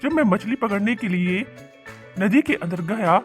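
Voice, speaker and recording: A man's voice: sits high, lively intonation, measured pace. An eager, wide-eyed cartoon fisherman bubbles over with exclamations as a man acts him out. He is a simple husband with gold on his mind, gasping between bursts. Music plays behind him. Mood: excited. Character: excitable, greedy but kindly fisherman husband. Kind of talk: animated cartoon voice acting.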